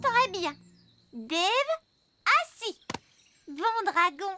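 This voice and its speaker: A woman's voice: very high-pitched, big pitch swings, extremely slow, over music, full character voice. A woman voicing a sweet, eager, childlike fairy princess in a French cartoon dub. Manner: childlike, earnest.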